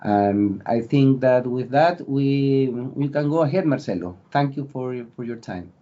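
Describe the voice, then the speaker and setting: A man hosts a seminar and introduces a guest speaker, pausing often on filler sounds, over a video call. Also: medium pitch, warm tone, a little hiss or hum. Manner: hesitant, many filler sounds. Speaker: seminar host. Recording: dull lo-fi audio.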